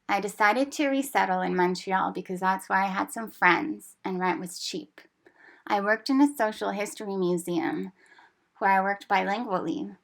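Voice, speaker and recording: A woman in her thirties reads her own story aloud in a measured, reflective way. Her voice has a steady creaky fry at an ordinary middle pitch. She keeps an earnest, considered tone throughout. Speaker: speaker telling her language-learning story. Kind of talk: prepared personal narrative, read aloud.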